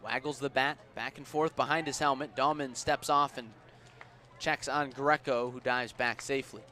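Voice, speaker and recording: Bright-voiced male baseball play-by-play announcer. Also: fairly even intonation. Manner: measured between pitches, punchy and louder on plays. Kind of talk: live radio sports commentary. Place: outdoor baseball ballpark. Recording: outdoor ballpark ambient noise.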